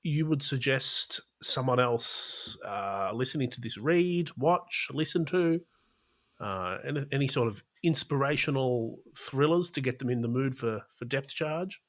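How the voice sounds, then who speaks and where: A man's voice: medium pitch, lively intonation, a little slow, fairly bright tone. A man hosting a podcast, interviewing in a casual, conversational way. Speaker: podcast host.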